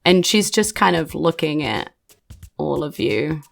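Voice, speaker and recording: A woman's voice: sits low, sing-song, a little slow, gravelly, faint music behind. A woman with a New Zealand accent, improvising narration as a game master in a tabletop game.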